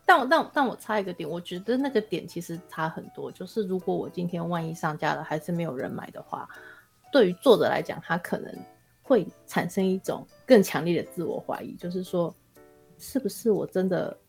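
A woman's voice: lowish voice, lively intonation, fairly quick, vocal fry, slightly rough, faint music behind. A Taiwanese woman in casual podcast chat, speaking candidly and self-deprecatingly as a guest author.

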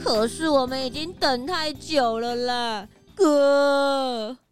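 A woman's voice: high voice, slow, soft background music. A woman playing a hungry, eager young detective's sidekick in a children's audio drama, childlike and exclamatory. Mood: impatient, whiny.

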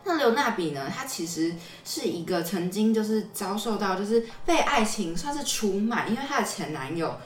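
A woman's voice: mid-range voice, very animated, normal speed, hardly any pauses, distant mic. A young woman with a Taiwanese Mandarin accent, a podcast host telling stories in a casual, explanatory way. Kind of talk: casual conversational storytelling. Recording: roomy echo, off-mic, music underneath.

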